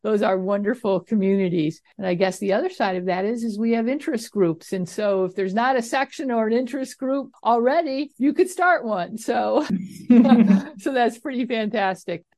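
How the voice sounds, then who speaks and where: A woman's voice: on the high side, moderate pace, darkish tone, no echo. A woman co-hosting a podcast, friendly and upbeat as she asks questions. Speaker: podcast co-host.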